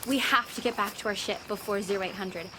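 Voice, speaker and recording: An actress playing a headstrong, breezily optimistic young pilot, impulsive and casual, in an audio drama. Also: female voice, medium pitch, fast, background noise, close to an everyday voice. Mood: breezy, exasperated.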